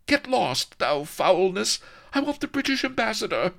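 A proud highborn damsel from a comic adventure tale. The voice leaps very high and swoops with haughty, theatrical indignation. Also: male voice, sing-song, normal speed, creaky, fairly bright tone, heavily acted.

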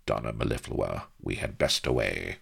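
A man voicing a proud gentleman hero in a storybook, speaking scornful, clipped, archaic formal lines. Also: a bass voice, moderate pace, creaky, breathy edge, voice pushed down, full character voice. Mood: cold, commanding. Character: haughty, steely young gentleman hero, the king's man.

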